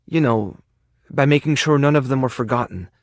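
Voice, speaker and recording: A male actor in a dating video game playing an earnest, tender, awkward keeper of an animal rescue, sincere and prone to halting rambles. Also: medium pitch, no echo, clean studio sound, close to an everyday voice. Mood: grieving, guilty. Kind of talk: video game character voice acting, dating simulator.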